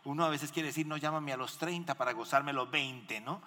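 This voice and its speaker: A man's voice: fairly high pitch, brisk, pushed and bright. A man speaking as a pastor and host in church, exhorting the congregation and putting questions to them. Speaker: pastor hosting a missions guest in church. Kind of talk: animated church interview and preaching. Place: large indoor church hall. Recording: echoey hall with long reverb.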